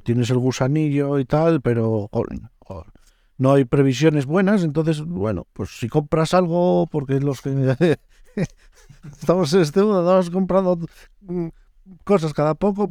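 A man's voice: fairly high pitch, unhurried, warm tone, no room sound, clean studio sound. A man with a northern Spanish accent hosting a podcast in casual, colloquial, slangy interview chat. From northern Spain. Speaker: podcast host.

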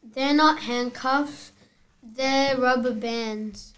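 A fairly high-voiced masked bandit acted by a child, threatening yet hapless. The lines are read slowly, as in a children's play. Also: fairly even intonation, fairly bright tone, exaggerated voice. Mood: matter-of-fact.

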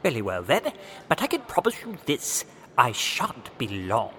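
An actor playing a stiffly polite, officious Victorian bank attendant in an audio drama. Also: male voice, mid-range voice, wildly swooping pitch, measured pace, fairly bright tone. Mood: frustrated. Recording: noisy background with clicks.

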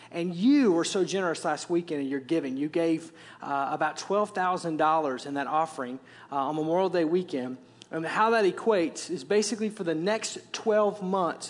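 High-voiced pastor with Wisconsin accent. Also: male voice. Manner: conversational, joking asides, repeats words. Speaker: senior pastor. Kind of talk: sermon. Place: large church auditorium. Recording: long hall reverb.

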